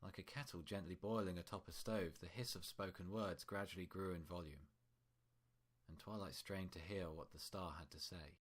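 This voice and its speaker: A man's voice: sits low, steady tone, moderate pace. A man reading scripted fiction as a storyteller, in prose narration.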